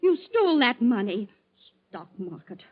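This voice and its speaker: A wary earnest fiancee in an old radio play, highish voice, thin tinny recording. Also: female voice, very animated, normal speed. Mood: accusing. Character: suspicious, principled fiancée of a crook. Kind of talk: 1940s radio acting. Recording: tinny, band-limited 1940s radio recording.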